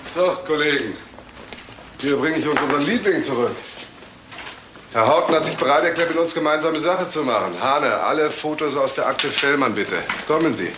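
A man playing a calm, shrewd police detective in a crime radio drama, measured, terse and commanding. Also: on the high side, lightly acted. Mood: brisk, commanding. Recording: clearly noisy track with clicks.

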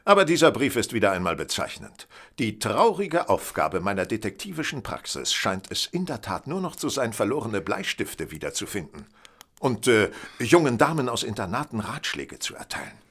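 A vain gentleman detective in an audio drama. His husky voice swings dramatically up and down. He sounds sardonic and superior, with formal, theatrical articulation. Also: on the low side, sing-song, normal speed, exaggerated voice. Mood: disdainful complaint. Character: famous detective, vain, bored, ironic gentleman. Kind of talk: German audio drama acting.

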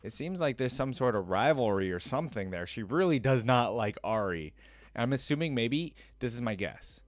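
A man's voice: mid-range voice, lively intonation, moderate pace, no room sound. A man in his twenties chatting casually to viewers as a reaction video host, rambling and tentative.

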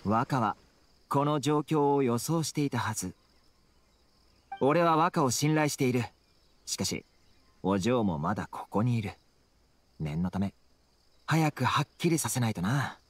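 A man's voice: medium pitch, lively intonation, unhurried, long pauses, slight background noise, lightly acted. A man voicing a stoic, loyal retainer in an anime game, restrained and serious. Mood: serious, concerned.

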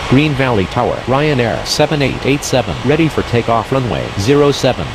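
A woman's voice: extremely low pitch, very animated, brisk, exaggerated voice. A woman playing a businesslike pilot and air traffic controller in a game, with formal, clipped radio phraseology. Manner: formal, procedural. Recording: game audio under loud engine roar.

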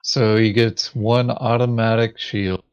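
A man's voice: lowish voice, little pitch movement, slow pace, clean tone, highs cut off. A man chatting casually as he thinks through his moves in an online tabletop game. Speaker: board game player.

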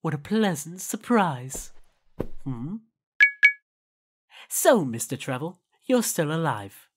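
An actor voicing an elegant, stern owl demon prince in an audio drama, with formal, deliberate, courtly speech. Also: medium pitch, very animated, a little mellow, slight background noise. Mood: suave, probing. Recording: music under the voice.

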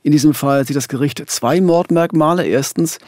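A man's voice: a little high, moderate pace, a little mellow, no room sound. A middle-aged man narrates and explains as a true-crime podcast host, close to a studio microphone. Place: small dark podcast studio. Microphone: close studio microphone with headphones.

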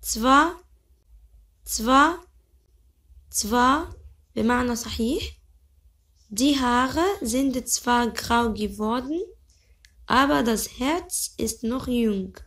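A young woman with an Egyptian Arabic accent teaching vocabulary. She says each word slowly several times, then explains it quickly in Arabic. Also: a little high, fairly bright tone. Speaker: language teacher. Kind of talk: vocabulary lesson.

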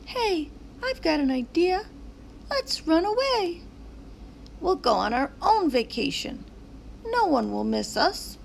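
A woman's voice: very high voice, very animated, slow, vocal fry, a little hiss or hum, voice pushed up, exaggerated voice. A woman voicing a plucky, eager little stick-figure kid from a picture book, in a childlike exclaiming manner. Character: excitable little stick-figure sign kids, adventurous and plucky.

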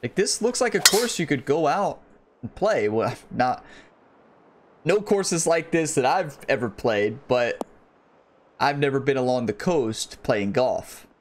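A man's voice: medium pitch, lively intonation, measured pace. A man in his thirties hosting a gaming channel, chatting casually and enthusiastically to viewers while playing golf in a home gaming room on a headset mic. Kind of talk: casual gameplay commentary.

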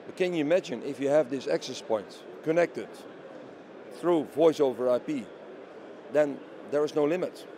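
Bright-voiced, higher-pitched man explaining products. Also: moderate pace. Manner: explanatory, asks and answers own questions. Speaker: company representative being interviewed. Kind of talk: product demonstration interview. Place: busy trade show hall booth. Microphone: handheld interview microphone held by interviewer. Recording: faint bustling exhibition hall noise.

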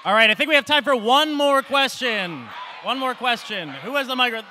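A man moderating a live Q&A in an auditorium, playful and quick to laugh. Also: very high-pitched, bright, forceful tone, a little hiss or hum. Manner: playful, laughing, calling on askers. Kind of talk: hosting a live Q&A. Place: large auditorium. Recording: crowd in the background.

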